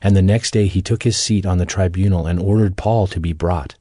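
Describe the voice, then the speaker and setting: A man's voice: deep voice, fairly even intonation, a little fast, mellow, no echo, studio quality. A man narrating scripture as the storyteller of a dramatized audio Bible.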